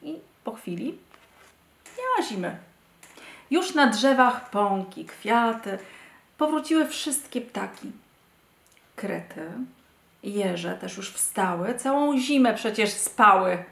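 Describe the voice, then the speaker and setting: A woman in her forties reading a rhymed children's story expressively, with deliberate dramatic pauses, in an echoey room. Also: mid-range voice, animated, vocal fry, a bit bright. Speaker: storybook reader. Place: indoor wood-panelled room.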